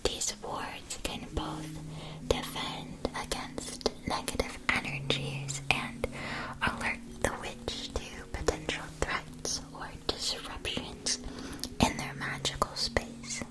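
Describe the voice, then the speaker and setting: A gentle witch shopkeeper, played by a woman, speaks in a soft, breathy whisper. She takes her time over each phrase, careful and politely attentive, in the manner of a fantasy ASMR roleplay. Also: slow, natural voice, poor audio. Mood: gentle, informative.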